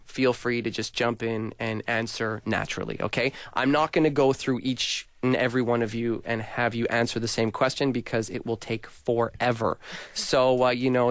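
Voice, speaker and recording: A man's voice: mid-range voice, no echo. A man hosting a radio show, interviewing students casually with jokey, slangy banter.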